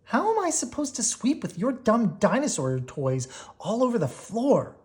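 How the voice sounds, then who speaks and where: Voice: mid-range voice, huge pitch swings, normal speed, darkish tone, voice pushed up. A storybook reader voicing an indignant, argumentative young girl bear cub in a whiny, mocking way. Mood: indignant complaint.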